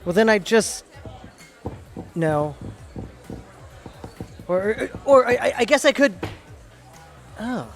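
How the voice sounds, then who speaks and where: A man's voice: sits high, lively intonation, slow, long pauses, faint music behind, full character voice. A man playing an overconfident, easily flustered lawyer in an audio drama, defensive and hesitant.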